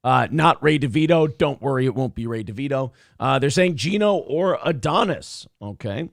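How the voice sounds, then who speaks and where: A man with a hoarse, raspy voice hosts a casual radio show, rambling through chat messages with restarts and swearing. His pitch sits in a middle range. The studio sound is dry and close. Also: animated, moderate pace, no room sound. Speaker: radio show host reading live chat.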